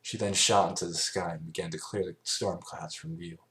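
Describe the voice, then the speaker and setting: A man with a low voice reads a story aloud. It is scripted amateur narration. He sometimes trips over words as he goes. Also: fairly even intonation, a little fast, fairly bright tone. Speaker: amateur fan fiction narrator.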